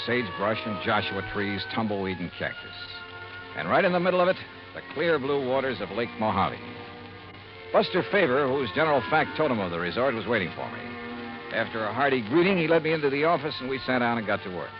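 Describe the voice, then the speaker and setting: An actor playing a brisk, wry insurance investigator in a 1950s radio detective drama, clipped and dramatic. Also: male voice, mid-range voice, animated, natural voice. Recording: dull vintage radio recording with music.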